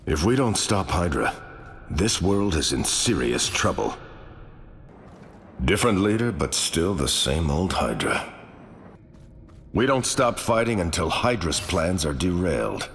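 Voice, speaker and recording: An actor playing a grim, serious soldier hero in a dramatic video game. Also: male voice, low voice, big pitch swings, a little slow, pauses often, a bit bright, full character voice. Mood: grim, determined. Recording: reverberant game audio with faint ambient noise.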